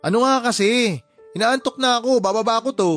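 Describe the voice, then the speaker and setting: A man's voice: very high voice, fairly quick, a bit bright, soft background music. An actor playing a groggy, defensive younger brother around thirty, exasperated with his sister in a radio drama.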